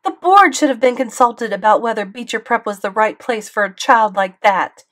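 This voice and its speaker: A woman reading aloud, voicing an indignant, furious mother who talks in a shrill, strident voice. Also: lively intonation, brisk.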